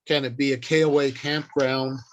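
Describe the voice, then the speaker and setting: An older man presenting an informal instructional talk in a halting, deliberate, explanatory way. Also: mid-range voice, flat delivery, a little hiss or hum, poor audio. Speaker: workshop presenter.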